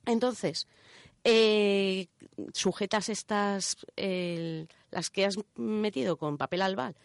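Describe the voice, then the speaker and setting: A woman's voice: mid-range voice, takes it slowly, vocal fry, clear, resonant tone, no echo, studio quality. A woman with an accent from Spain explains things informally on radio, step by step, often checking that listeners follow. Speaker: radio show contributor presenting a section. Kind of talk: casual explanatory radio chat.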